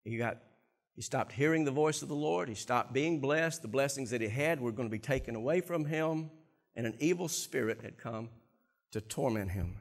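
A middle-aged man preaching a sermon from a church stage on a lapel mic, animated and swinging between quiet and loud passages. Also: mid-range voice, normal speed, creaky. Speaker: pastor.

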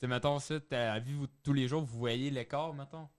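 Young quebec guy, average pitch, laid out casual and asking stuff, podcast mic. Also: fairly even intonation, moderate pace. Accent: Quebec French. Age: young adult. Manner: informal, questioning. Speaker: podcast panelist. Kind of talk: casual group discussion. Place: small podcast studio. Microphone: close studio microphone on boom arm.

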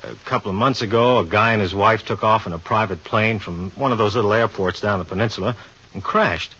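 Laid-back cop, husky low voice, slangy, muffled old radio show. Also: male voice, fairly quick, sharp tone. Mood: explaining, easygoing. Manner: casual, slangy. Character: easygoing, teasing male police inspector. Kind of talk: 1950s radio drama acting. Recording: muffled 1950s radio recording.

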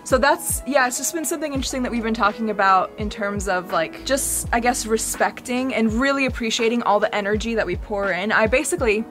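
A woman's voice: fairly high pitch, brisk, hardly any pauses, a bit bright, soft background music. A young woman from Bulgaria chatting casually with her husband on a vlog, laughing often and saying "like" a lot, recorded outdoors in a garden. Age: young adult. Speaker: vlogger, co-host. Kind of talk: casual chat.

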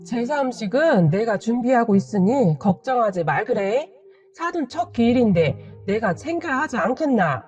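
A woman doing a gruff mother-in-law. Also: on the high side, big pitch swings, normal speed, darkish tone, soft background music. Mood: insistent. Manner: curt, scolding, short commands. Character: blunt, scolding older mother-in-law, gruff but caring.

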